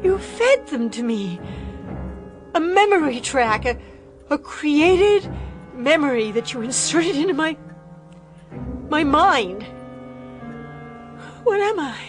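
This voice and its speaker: A woman's voice: sits high, slow, long pauses, full character voice. An actress voicing a young robot woman who learns she was built as a daughter, in a radio drama with music beneath. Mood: pleading. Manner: desperate and defiant, later flat and servile. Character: young female robot daughter, anguished then docile. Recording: with music underneath.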